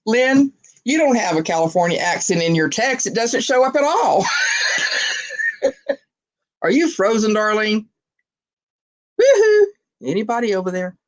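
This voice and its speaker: A woman's voice: medium pitch, very animated, unhurried, pauses often, raised voice. A woman from Texas hosting a casual video call chat, telling stories and laughing often. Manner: chatty storyteller, laughs often.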